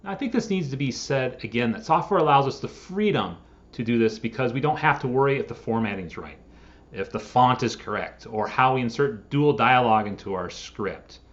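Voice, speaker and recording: A man's voice: medium pitch, lively intonation, brisk. A man hosting a scripted explainer video, speaking directly to viewers and posing rhetorical questions. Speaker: host of a screenwriting software channel. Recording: dull, lo-fi sound.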